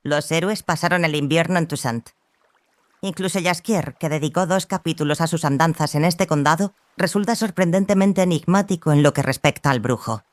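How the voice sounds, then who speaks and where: A woman playing an assured, scholarly female mentor in an audiobook drama, speaking with conviction and deliberate, questioning phrasing. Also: deep voice, no echo, natural voice. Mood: assured, probing.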